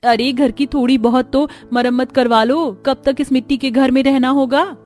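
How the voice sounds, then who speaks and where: A woman's voice: high voice, steady tone, normal speed, darkish tone, lightly acted. A woman voicing young village daughters-in-law in a moral-story cartoon, theatrical and exclamatory as they bicker and complain. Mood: scolding.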